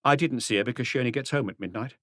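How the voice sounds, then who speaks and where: A man voicing a diligent police inspector in an audiobook, briskly reporting his enquiries in detail. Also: medium pitch, fairly bright tone, no echo, studio quality, voice pushed up, natural voice. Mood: eager reporting. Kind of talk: audiobook character dialogue.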